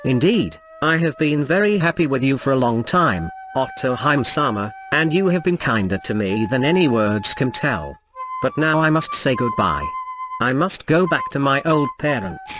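A man's voice: mid-range voice, big pitch swings, normal speed, over music, voice pushed down, lightly acted. A man voicing a dutiful young fisherman who speaks in a formal, polite and anxious way. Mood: earnest. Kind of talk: storybook reading.

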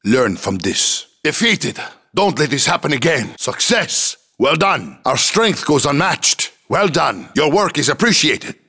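A man's voice: fairly high pitch, sing-song, unhurried, pushed and bright, husky, heavily acted. A man playing a militia commander as a video game announcer, giving short, clipped, commanding battle calls. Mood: stern, controlled. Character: forceful militia commander barking battle updates.

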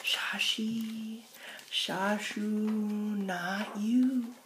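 A woman voicing a bitter, forbidding winter wind in a hushed, slow, whooshing storybook manner. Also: medium pitch, fairly even intonation. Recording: roomy, echoing space.

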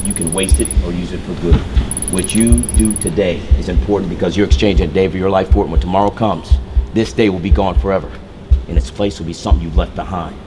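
A man delivering a motivational speech in a solemn, measured way, with short commanding phrases. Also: deep voice, fairly even intonation, over music. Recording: noisy ambient background.

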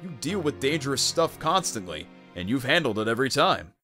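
A man's voice: lowish voice, expressive, moderate pace, loud music behind the voice, close to an everyday voice. A man voicing an earnest, exasperated young echidna treasure hunter in a cartoon fan dub, blunt and emphatic. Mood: encouraging.

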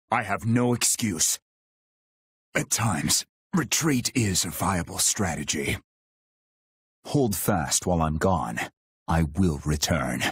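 A husky, very low-voiced male warrior for a fantasy video game, loyal and stern. He speaks slowly in short, formal, restrained phrases, recorded close and dry. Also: dry recording, full character voice. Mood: steadfast, formal. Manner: solemn, formal, restrained, terse. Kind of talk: video game battle voice lines.

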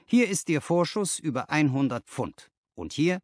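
Male narrator as persuasive businessman, effusive, patronising, audiobook dialogue, animated pitch, dry sound. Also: normal speed, dry recording. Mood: hearty. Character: brisk, effusive businessman recruiter, flattering and persuasive.

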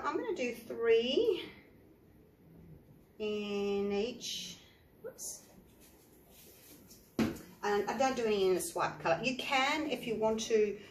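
An Australian woman, an art teacher, chatting step by step through a painting tutorial with a croaky voice from the flu. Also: medium pitch. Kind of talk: tutorial narration. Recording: echoey room, faint noise.

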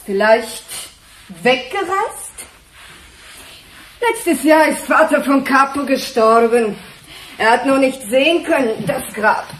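High-voiced defiant immigrant woman. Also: expressive, slow pace, long pauses, fairly bright tone, heavily acted. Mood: evasive. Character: defiant immigrant woman speaking broken German. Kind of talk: radio crime drama acting. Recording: roomy, slightly noisy radio play scene.

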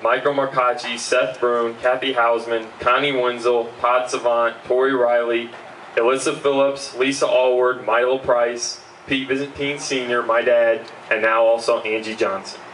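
A man's voice: mid-range voice, moderate pace. A young man, giving an earnest keynote speech from notes at an outdoor benefit, speaking into a handheld mic. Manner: earnest, steady, fillers between points. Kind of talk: reading a prepared speech. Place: outdoor backyard party. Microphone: handheld mic, recorded by far camera. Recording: distant roomy outdoor sound, faint hum.

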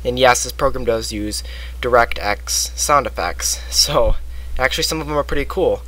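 A man narrating a software tutorial step by step, recorded close on a computer microphone. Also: medium pitch, moderate pace, low-quality recording. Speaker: tutorial presenter. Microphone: close computer microphone, voice-over.

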